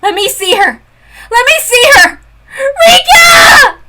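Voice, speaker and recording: A woman's voice: very high voice, very animated, moderate pace, a little hiss or hum, voice pushed up. Fields: Figure: screaming, grief-mad teen girl. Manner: shrieking. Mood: screaming crying. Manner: shrieking and sobbing.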